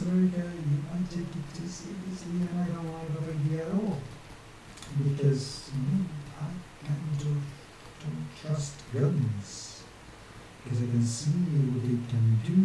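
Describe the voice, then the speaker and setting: A man's voice: on the high side, dark tone. A man in his forties with a non-native English accent showing some northern English influence, chatting and joking to himself while he draws a map on screen at his desk at home. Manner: chatty, talks to himself, jokey asides. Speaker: map illustrator and channel host. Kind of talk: rambling chat while drawing on screen. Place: small home room at a desk with a webcam. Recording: very echoey room, distant mic, faint hiss.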